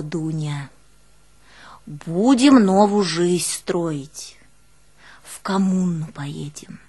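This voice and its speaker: An actress playing a proud, aggrieved peasant wife with a rural northern Russian accent, in an earthy, colloquial, emotional theatrical monologue. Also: female voice, fairly low pitch, heavily acted. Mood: wistful, confiding. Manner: colloquial, emotional, swinging from scolding to hushed confiding. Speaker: stage actress in a one-woman audio play. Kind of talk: theatrical monologue with snatches of village folk ditties.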